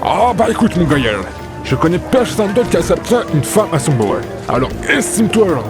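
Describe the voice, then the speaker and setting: A man's voice: high voice, big pitch swings, normal speed, voice pushed up. A man voicing a greedy, sexist sea captain in a comic audio drama, swaggering and haggling with breezy familiarity. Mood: haggling, scornful. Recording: music behind.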